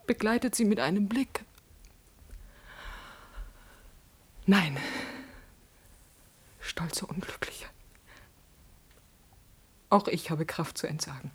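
Proud noblewoman, jealous and passionate, husky voice, imperious theatrical declamation, classical radio drama. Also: medium pitch, a little mellow. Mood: agitated, resolute.

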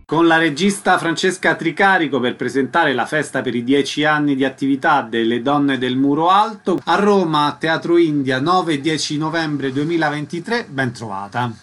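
Higher-pitched man hosting a radio interview. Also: normal speed, few pauses, fairly bright tone, faint music behind. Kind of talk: radio interview, scripted introductions.

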